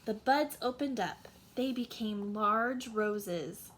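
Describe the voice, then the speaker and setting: A woman's voice: medium pitch, takes it slowly, slight background noise, low-quality recording. A woman narrating a children's storybook as a teacher reading to young listeners.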